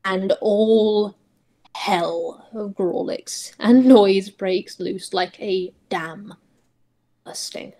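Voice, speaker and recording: A woman narrating roleplay prose as a player, reading dramatically in halting phrases. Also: mid-range voice, dark tone.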